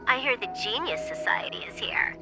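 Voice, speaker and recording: Cool, teasing young hacker girl, lively intonation. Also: a little high, normal speed, music in the background. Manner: dry, teasing banter. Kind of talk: video game character dialogue.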